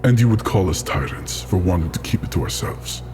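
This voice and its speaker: A man voicing a proud, bitter ifrit genie in a tabletop game, speaking in a measured, stern way. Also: sits low, expressive, a little mellow, soft background music. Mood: grave, bitter. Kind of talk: improvised tabletop roleplay.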